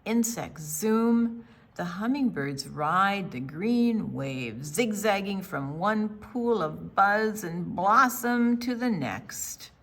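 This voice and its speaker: Slow, expressive older woman reading aloud. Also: medium pitch. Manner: slow, deliberate storyteller phrasing with dramatic pauses. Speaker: children's storytime presenter. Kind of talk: reading a picture book aloud to children.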